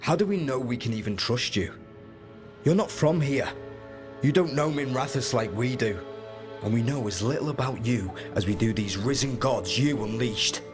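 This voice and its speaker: A man's voice: mid-range voice, moderate pace, lightly acted. A man playing a wary, skeptical rebel informant in a fantasy video game, guarded and matter-of-fact. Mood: distrustful. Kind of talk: dramatic video game acting. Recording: faint music behind.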